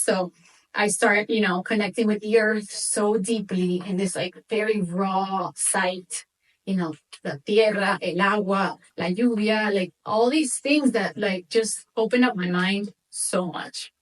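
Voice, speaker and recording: A young woman from Venezuela speaks as an interview guest, picked up from a little way off the microphone. Her talk is informal, animated storytelling at a middling pitch, sprinkled with Spanish words. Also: a little slow, recorded from a distance, poor audio. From Venezuela, moved to the United States at eight. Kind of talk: casual conversational storytelling.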